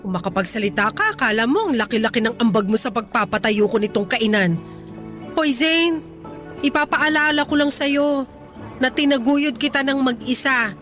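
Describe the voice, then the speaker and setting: A woman's voice: high voice, lively intonation, moderate pace, fairly bright tone. An actress playing an indignant middle-aged widowed mother in a Filipino radio drama, scolding and sulking. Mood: stern, scolding. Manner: scolding, aggrieved, sulky. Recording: music playing under the dialogue.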